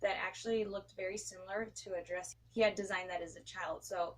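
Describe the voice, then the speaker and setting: A woman's voice: medium pitch, fairly even intonation, brisk. A woman hosting an interview, asking long, winding questions. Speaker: interview host.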